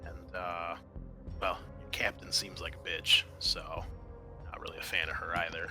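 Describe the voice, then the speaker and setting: Tabletop game role: calculating woman scientist played by a man, low-pitched, very bright and forceful, hesitant phrasing. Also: little pitch movement, moderate pace, gravelly, faint music behind, heavily acted. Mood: guarded, scheming. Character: calculating woman scientist scheming over valuable samples. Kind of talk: improvised tabletop roleplay dialogue.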